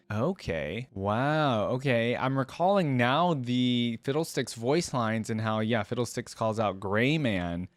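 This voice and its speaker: A man's voice: mid-range voice, no room sound. A man giving casual reaction commentary, reading lore aloud and adding curious, informal asides as he goes. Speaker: reaction video creator.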